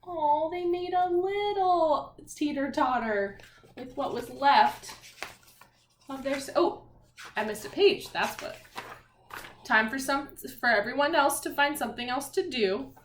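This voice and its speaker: A woman with a fairly high voice speaks as a primary school teacher to young children. Her delivery is animated and encouraging, with a sing-song lilt. The room is clearly echoey, with faint noise behind her. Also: a little slow. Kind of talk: read-aloud and lesson for young children.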